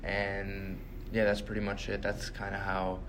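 Low-voiced young man, relaxed interviewee. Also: a little slow, background noise. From Bahamas. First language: Portuguese. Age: young adult. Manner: relaxed, trailing off softly at the ends of thoughts. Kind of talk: casual interview answers. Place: hotel meeting room.

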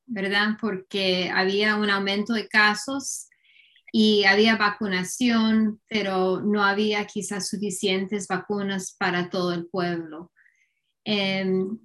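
A woman's voice: mid-range voice, fairly bright tone. A woman conducting a formal interview, asking questions politely and carefully, with frequent fillers between them. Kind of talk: structured oral-history interview. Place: Connecticut, United States.

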